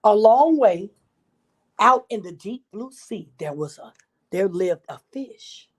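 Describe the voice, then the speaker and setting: A woman's voice: medium pitch, expressive, slow. A woman reading a children's story aloud expressively, with asides to her young listeners.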